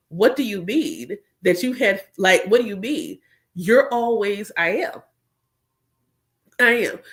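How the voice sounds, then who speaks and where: A woman's voice: on the low side, fairly bright tone. A woman and spiritual coach, congested, chatting casually and directly to her viewers about her own experience. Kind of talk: casual chat.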